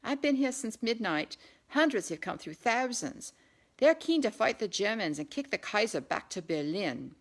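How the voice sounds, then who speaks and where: A woman's voice: mid-range voice, sing-song, normal speed, pitched above the performer's own voice. A woman voicing an earnest, keen British policeman in an audiobook. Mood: keen, helpful. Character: friendly, keen British policeman, weary from duty.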